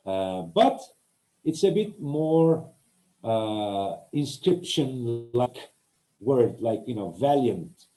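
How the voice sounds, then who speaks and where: A man with a Turkish accent, a native Turkish speaker, giving an academic lecture as a translator, often pausing and searching for words. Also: medium pitch, dark tone, low-quality recording. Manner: hesitant, searching for words with many pauses.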